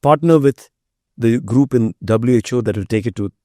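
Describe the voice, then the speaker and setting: A man's voice: medium pitch, moderate pace, warm tone, no echo, studio quality. A middle-aged man from India, a Tamil speaker, talking about his company as a guest with earnest, emphatic delivery. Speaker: biotech company CEO, guest. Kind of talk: conversational interview.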